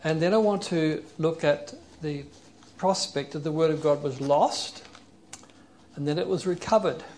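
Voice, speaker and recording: A man preaching a sermon in an earnest, explanatory way, leading a prayer and reading scripture passages aloud slowly. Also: fairly high pitch, a little mellow, slight background noise.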